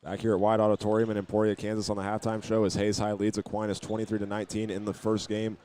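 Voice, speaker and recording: An American man calling live high school basketball as a play-by-play radio announcer. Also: completely flat delivery, fast talker, a little mellow. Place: indoor auditorium at a basketball game.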